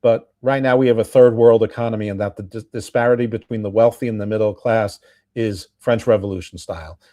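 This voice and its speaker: A man's voice: lowish voice, steady tone, a little fast, warm tone, no room sound, studio quality. A man from the United States hosting a podcast discussion in an articulate, discursive, reasoned way. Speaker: podcast host. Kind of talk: conversational discussion.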